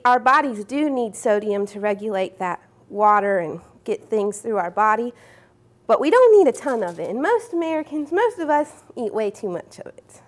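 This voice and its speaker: Cooking show host: young woman, high voice, friendly step-by-step kitchen explaining. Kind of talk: cooking demonstration. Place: home-style studio kitchen.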